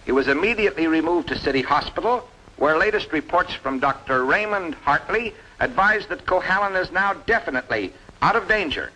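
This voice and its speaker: Bright, forceful vintage radio newsman. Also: fairly high pitch, animated, brisk, rough recording. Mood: urgent, official. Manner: formal, declamatory. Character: brisk, forceful male radio newscaster. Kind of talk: vintage radio news reading.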